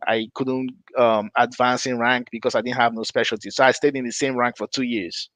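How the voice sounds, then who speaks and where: A man raised in Nigeria tells his story on a podcast at a mid pitch, in a relaxed, meandering way. He often stops to correct himself and laughs lightly between points. The sound is dry and close, with no room echo. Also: fairly quick. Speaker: podcast host. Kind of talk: casual storytelling chat.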